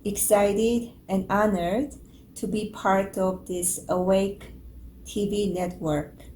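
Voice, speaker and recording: A woman from South Korea, a native Korean speaker, gently telling her story as a spiritual teacher in English. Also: mid-range voice, steady tone, slow pace, echoey room, a little hiss or hum. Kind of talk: calm introduction and personal story.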